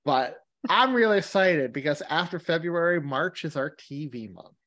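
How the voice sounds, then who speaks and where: A woman's voice: sits low, animated, moderate pace, a bit bright. A woman co-hosting a podcast, talking in a bubbly, enthusiastic way. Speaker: podcast co-host. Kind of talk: casual podcast chat.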